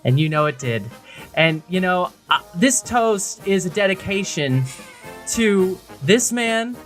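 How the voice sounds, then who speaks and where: A man's voice: high-pitched, expressive, takes it slowly, fairly bright tone, faint music behind. A man co-hosting a podcast in a casual, informal, conversational way. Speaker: podcast co-host.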